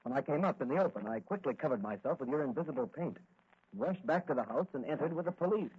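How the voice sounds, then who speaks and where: Husky, earnest radio hero patrolman. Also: a little high, animated, quick pace. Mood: confident, breezy. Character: confident, cheerful heroic male patrolman crime-fighter. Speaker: lead actor in radio serial. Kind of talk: 1940s radio acting. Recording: muffled lo-fi vintage radio recording.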